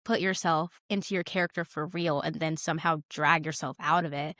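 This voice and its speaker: A woman's voice: sits low, fairly even intonation, fairly quick, sharp tone, dry recording, studio quality. A young woman co-hosting a podcast, chatting casually and effusively, stressing and repeating her words. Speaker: podcast co-host. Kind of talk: casual chat about films.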